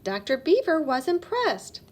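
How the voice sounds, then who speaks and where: Female children's storybook reader: high-pitched, very lively intonation, expressive narration. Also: a little slow.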